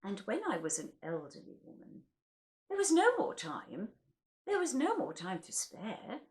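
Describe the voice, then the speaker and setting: A woman's voice: mid-range voice, wildly swooping pitch, a little slow, darkish tone. A woman reading poetry aloud in a theatrical, wryly comic way, as the host of a recital. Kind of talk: expressive poetry recital with casual introductions.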